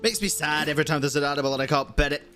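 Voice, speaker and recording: A woman's voice: deep voice, steady tone, racing, a bit bright. A woman game streamer giving casual live commentary, dropping short, wry reactions over the dialogue. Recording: game music behind the voice.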